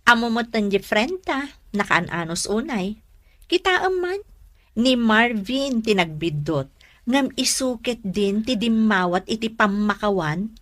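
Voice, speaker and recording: Woman acting a high-voiced, frank young best friend. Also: big pitch swings, unhurried, fairly bright tone, dry recording. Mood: gossipy. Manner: chatty, advising. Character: concerned, frank young female friend giving advice. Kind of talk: radio drama acting.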